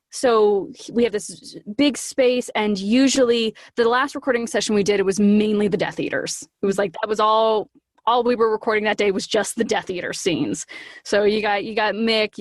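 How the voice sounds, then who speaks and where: A woman's voice: medium pitch, no echo, clean studio sound. A woman voice actor as a podcast guest, chatting casually and enthusiastically about her work. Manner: bubbly, enthusiastic, breaks into acted quotes. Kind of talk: casual, animated interview chat.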